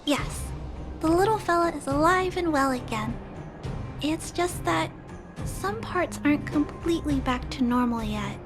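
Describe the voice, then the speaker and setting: A kind, earnest young girl who looks after robots speaks in a very high, light voice. She is polite and gentle even when she disagrees. Game music plays clearly behind her. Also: unhurried.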